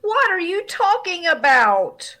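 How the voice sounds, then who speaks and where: High, swooping, exasperated wife, acted by a woman. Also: big pitch swings, takes it slowly, pushed and bright, heavily acted. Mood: puzzled, wry. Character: exasperated, suspicious, sharp-tongued wife in radio comedy. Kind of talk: 1950s radio comedy acting.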